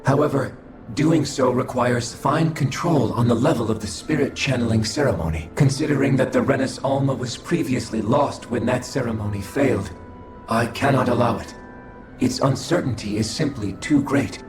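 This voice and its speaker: A man voicing a detached alien observer in a video game, formal, measured and emotionless. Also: mid-range voice, natural voice. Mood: cold, expository. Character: cold, detached alien observer of a fallen species. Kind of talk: scripted video game voice acting. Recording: very roomy echo, music behind.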